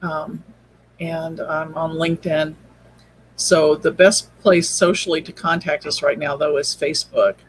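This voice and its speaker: Male interview guest, slightly high voice, halting casual delivery, faint noise on an online call. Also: level tone, poor audio. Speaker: podcast drama producer, interview guest. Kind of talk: casual chat.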